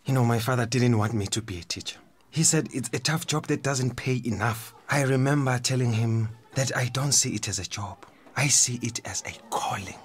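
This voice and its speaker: A man's voice: mid-range voice, moderate pace, natural voice. A South African actor playing a stern, conflicted male headmaster in a radio drama. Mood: earnest, regretful.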